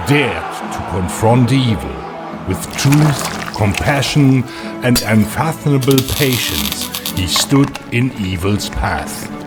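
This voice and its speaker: A man reading dramatic scripted narration for a motion comic in a portentous, measured way. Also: mid-range voice. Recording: clear music behind the voice. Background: music.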